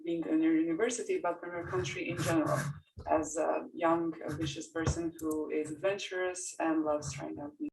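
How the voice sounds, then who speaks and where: A woman's voice: sits low, little pitch movement, brisk, a little mellow. A young woman from Croatia, a tourism student, earnestly asking a prepared question. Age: young adult. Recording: echoey room, distant mic, faint noise.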